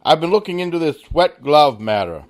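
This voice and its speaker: A man plays a wealthy, self-assured sleuth in a radio play. The speech is measured, courteous and precise, in a slightly high register. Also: very animated. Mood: cordial. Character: suave, confident wealthy male amateur sleuth. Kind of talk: radio mystery acting.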